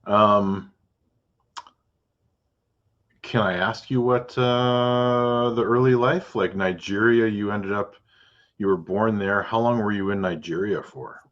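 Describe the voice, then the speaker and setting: A man with a very deep voice hosts a podcast interview and keeps the chat loose and informal. He often restarts his questions and drops in short "okay"s and "yeah"s. The sound is muffled and a bit dull. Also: steady tone. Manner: chatty, restarts his questions, quick acknowledgments. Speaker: podcast host and realtor. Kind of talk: casual interview.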